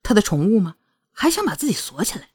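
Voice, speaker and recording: Casting: indignant young female romance heroine, voiced by a woman narrator; flustered, defiant, exclamatory, very lively pitch. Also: fast talker, breathy edge. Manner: protesting, exclamatory.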